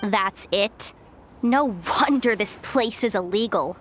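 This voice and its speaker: A woman's voice: medium pitch, takes it slowly, close to an everyday voice. A woman playing a shrewd, self-assured young intelligence broker in a video game, wry and knowing. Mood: scornful. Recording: faint background noise, game ambience.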